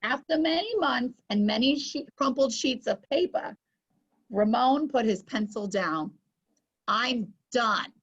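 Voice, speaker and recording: Slow, husky woman reading to children. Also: fairly high pitch, lively intonation. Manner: exclaiming, talking to young listeners. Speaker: host of children's story show. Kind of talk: children's read-aloud with chat. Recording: muffled lo-fi audio.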